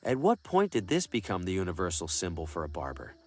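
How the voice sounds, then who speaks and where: Lively, wry salesman. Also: low-pitched, brisk, slight background noise. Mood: wry musing. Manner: wry asides, questioning. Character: bemused, jittery male traveling book salesman, wry. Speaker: player character in adventure game. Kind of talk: video game voice acting.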